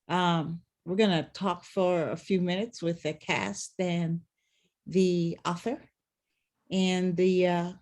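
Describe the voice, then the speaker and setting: A middle-aged host leads a post-show talk at an unhurried pace. The delivery is reflective and halting, with words often repeated while searching for the next thought. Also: mid-range voice, a little mellow. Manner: reflective, halting with word repetitions. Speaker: theater director and discussion host.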